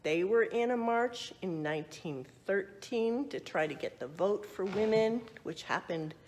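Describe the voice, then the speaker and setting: A woman reading a picture book aloud expressively, adding explanatory asides and questions for young listeners. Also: medium pitch. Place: museum exhibit space.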